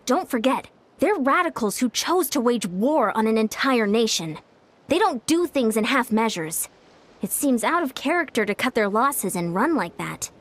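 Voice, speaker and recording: A woman playing a wary, level-headed young military strategist in a video game, speaking in a measured, serious way. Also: on the high side, big pitch swings. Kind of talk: dramatic video game voice acting. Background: faint music.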